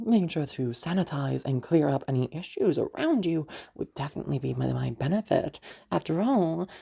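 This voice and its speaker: A woman playing a domineering, manipulative mother in an audio roleplay, falsely sweet and condescending with drawn-out, veiled threats. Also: very deep voice, creaky, warm tone, husky, heavily acted. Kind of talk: amateur audio roleplay acting.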